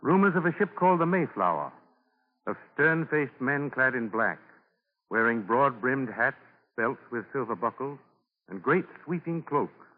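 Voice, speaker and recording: A man's voice: mid-range voice, sing-song. Solemn male narrator, tinny old radio. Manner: solemn, storytelling, deliberate phrasing. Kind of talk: 1940s scripted radio narration. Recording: tinny 1940 radio recording with music.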